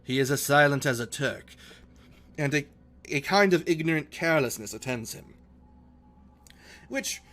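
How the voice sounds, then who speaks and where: A man reading a classic novel aloud as an earnest, romantic gentleman explorer, in formal literary phrasing. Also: on the high side, lively intonation, normal speed, pauses often. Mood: earnest, reflective. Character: earnest, romantic young gentleman explorer writing letters home.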